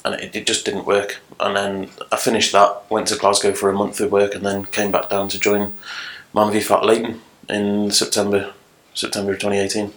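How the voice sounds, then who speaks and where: Flat-voiced man, podcast guest. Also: on the low side, normal speed, hardly any pauses, poor audio. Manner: earnest, plain-spoken, with filler ums. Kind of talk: casual podcast interview.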